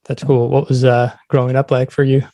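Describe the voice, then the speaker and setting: A man hosting a podcast, asking questions in an informal, agreeable, slightly halting way. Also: medium pitch, flat delivery, dark tone. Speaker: podcast host. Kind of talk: casual interview chat.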